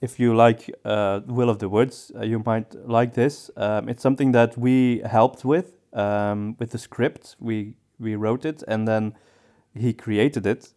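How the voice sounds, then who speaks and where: A man's voice: medium pitch, steady tone, measured pace, a little mellow. A man hosting a podcast, talking casually in a hesitant, conversational way. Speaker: podcast host.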